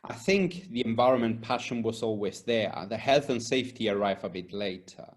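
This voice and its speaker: A man's voice: lowish voice, normal speed. Earnest man being interviewed. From Argentina and Spain. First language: Spanish. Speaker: environmental and safety consultant being interviewed. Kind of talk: conversational interview answers.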